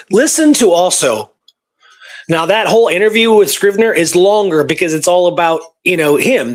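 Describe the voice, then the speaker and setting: A man co-hosting a casual history discussion, informal and keen on his research, sometimes reading from documents. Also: a little high. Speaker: co-host and researcher. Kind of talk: casual history discussion with read-out archive passages.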